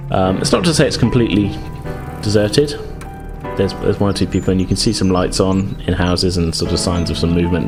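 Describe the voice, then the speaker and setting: Low-voiced man running a tabletop game. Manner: conversational, improvised, hesitant hedging. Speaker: game master. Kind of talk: narrating a tabletop roleplaying game. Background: background music.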